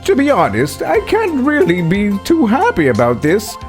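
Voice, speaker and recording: Casting: male streamer as excitable, theatrical courtroom game figure, pitched well up, fretful, very animated. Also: normal speed, faint music behind. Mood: uneasy.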